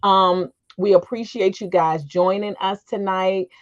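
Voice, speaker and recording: A woman's voice: mid-range voice, fairly even intonation, unhurried. A middle-aged American woman hosting an informal panel discussion. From Washington DC area, United States. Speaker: host. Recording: dull, lo-fi call audio.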